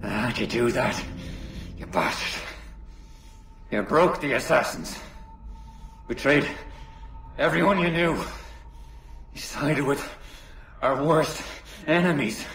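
Game cutscene: bitter, strained assassin, slow and echoey, noisy ambience. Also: male voice, mid-range voice, a bit bright. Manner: accusing, slow and heavy. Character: embittered male assassin, accusing former friend. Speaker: game character. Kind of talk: video game cutscene acting. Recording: game audio in echoey space with noise.

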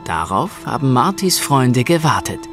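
A man's voice: medium pitch, big pitch swings, moderate pace, over music. A man narrating a scripted children's audio play as its storyteller.

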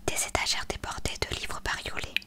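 A woman reading a story aloud as scripted narration, expressive and hushed. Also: fairly quick, whispered. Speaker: audiobook reader.

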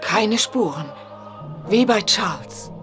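An actress playing a middle-aged, anguished yet hopeful mother of a vanished son, speaking gravely and formally in a horror audio drama. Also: medium pitch, expressive, slow, music in the background. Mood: shaken.

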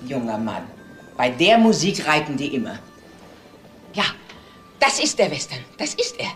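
An actress playing a chatty, self-assured, fussy baroness in a German crime radio drama. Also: female voice, low voice, big pitch swings, unhurried, a bit bright, faint music behind, full character voice. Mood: self-assured, delighted.

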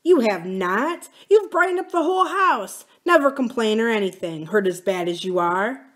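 A woman's voice: a little high, animated, moderate pace, fairly bright tone, voice pushed up. A woman voicing a kind, earnest, gullible young male farmer in an audiobook, eager and reassuring. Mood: tender. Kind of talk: audiobook character dialogue.

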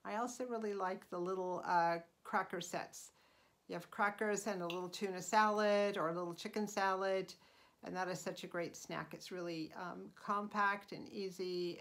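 A middle-aged woman hosting her own channel, talking through casual tips in an unhurried, chatty way with frequent ums and uhs. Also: medium pitch, fairly bright tone. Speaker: channel host. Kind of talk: casual vlog voice-over.

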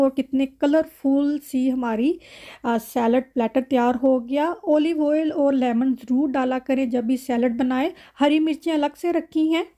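High, mellow-voiced Pakistani woman. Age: adult mother. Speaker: home cooking vlogger. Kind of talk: recipe voice-over narration, conversational.

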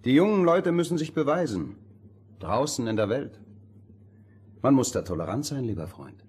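An actor playing a condescending, commanding gentleman stranger in a fairy-tale audio drama. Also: medium pitch, sing-song, moderate pace, husky. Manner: patronising, commanding. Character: smooth-talking, condescending gentleman stranger giving orders.

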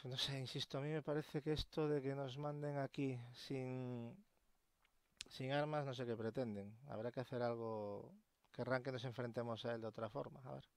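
A man's voice: medium pitch, steady tone, normal speed, clear, resonant tone, no echo. A man with an accent from Spain giving casual, colloquial, mildly sweary commentary as he plays a video game. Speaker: let's play host. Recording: game ambience and machinery noise behind. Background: video game ambience.

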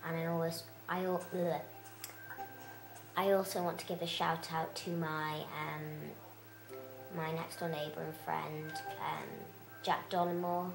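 A young girl rambles through her let's play with plenty of fillers, her voice croaky and gravelly. She talks low for her age. The room around her sounds echoey. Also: little pitch movement, unhurried, over music. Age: child. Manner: chatty, rambling, many fillers. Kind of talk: casual game commentary.

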